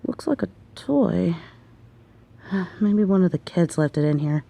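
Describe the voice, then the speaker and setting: A young adult woman performing a scripted horror narration, telling the story in a hushed, tense manner. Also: lowish voice, animated, a little slow, gravelly. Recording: very echoey room.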